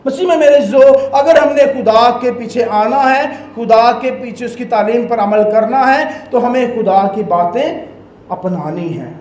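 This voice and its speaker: High-voiced Pakistani priest preaching emphatically. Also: male voice, measured pace, a little mellow, roomy sound. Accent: Pakistani Urdu. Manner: emphatic preaching with sweeping hand gestures. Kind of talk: Sunday homily. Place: small church sanctuary, indoors. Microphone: stand mic at the lectern.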